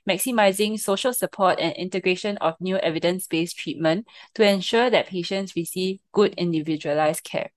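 Woman, podcast co-host, formal reading, low voice, dry sound. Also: no echo. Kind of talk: reading a guest introduction.

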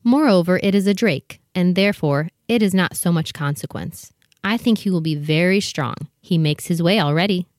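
A woman's voice: on the low side, normal speed, darkish tone, no echo, studio quality, close to an everyday voice. A woman playing a proud, fussy, protective mother duck in a storybook dramatization, bustling and motherly as she instructs. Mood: defensive, reassuring. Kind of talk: full cast storybook dramatization.

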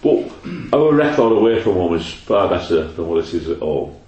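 Deep-voiced host, echoey room. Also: male voice, sing-song, normal speed, darkish tone, muffled recording. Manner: chatty, rattles off stats, tag questions. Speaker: podcast host. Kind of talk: casual football chat. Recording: echoey room, faint noise.

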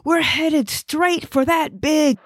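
A woman's voice: medium pitch, expressive, takes it slowly, heavy background noise, pitched above the performer's own voice. A woman voicing a panicky, exasperated passenger who scolds the boss in a comic audiobook. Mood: panicked. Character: panicky, exasperated passenger, overworked underling and narrator. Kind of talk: comic audiobook character acting.

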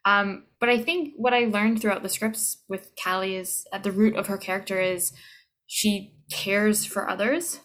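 A young woman in her twenties speaks with a Canadian accent. She sounds like an interview guest thinking out loud, casual and reflective, at an ordinary middle pitch. Her phrasing is a little halting as she searches for words. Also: fairly even intonation. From Toronto, Canada. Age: 20s. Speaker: voice actor being interviewed.

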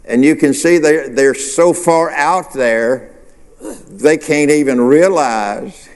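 A man's voice: on the high side, slow, long reverb tail. A male pastor preaching a sermon, asking the congregation questions and answering them himself. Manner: preacherly call-and-response, rhetorical questions.

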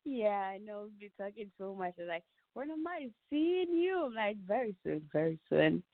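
A woman's voice: medium pitch, big pitch swings, normal speed, no echo. A young woman with a Ghanaian accent chatting casually as an interview guest. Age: young adult. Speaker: podcast guest, aspiring actor and model. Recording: muffled phone-line sound.

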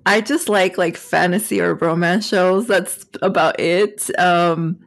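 A woman co-hosting a podcast, talking in a relaxed, candid way with casual fillers. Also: lowish voice, slow, gravelly. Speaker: podcast co-host. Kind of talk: casual chat.

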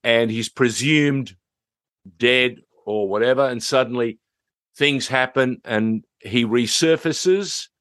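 A mature man hosts a chatty TV review in a mid-pitched voice. He leans on phrases and repeats them for emphasis. The sound is dry, with very little room in it. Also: slow, a bit bright, dry recording. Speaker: host reviewing TV series. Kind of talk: conversational review chat.

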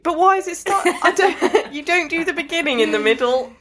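A woman's voice: extremely high pitch, expressive, brisk, a bit bright. A woman co-host in casual banter, interjecting with questioning, incredulous remarks. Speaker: podcast co-host reacting to a story.

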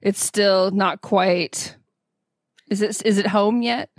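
A woman's voice: mid-range voice, steady tone, slow pace, no room sound, clean studio sound. A woman hosting a podcast and interviewing a guest in an upbeat, enthusiastic way. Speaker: podcast host. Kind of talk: podcast interview, scripted intro.

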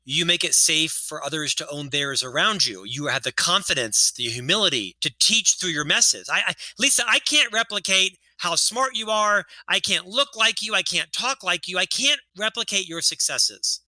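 A man's voice: sits high, animated, moderate pace, hardly any pauses, bright, forceful tone, no room sound, low-quality recording. A man in his fifties who has a stutter, telling his story earnestly as a guest in an interview. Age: 50s. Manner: earnest storytelling, lectures with statistics. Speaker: author and podcast guest. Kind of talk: casual interview talk.

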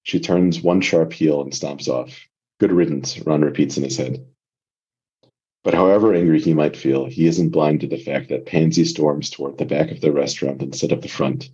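A man with an extremely deep, husky voice works as a guest reader, moving between narration and casual discussion. His tone is mellow, and the recording is dull and muffled. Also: fairly even intonation, normal speed.

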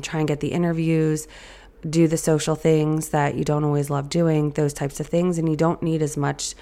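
Female podcast host, low and gravelly, hoarse and raspy from illness, casual chatty delivery. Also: mellow. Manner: chatty, loose, with many ums and restarts. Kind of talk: casual solo podcast talk.

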